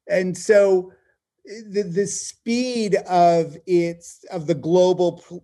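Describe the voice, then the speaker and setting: Male interviewee, TV producer. Soft-edged mellow tone, emphatic and conversational. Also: high-pitched. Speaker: television producer being interviewed.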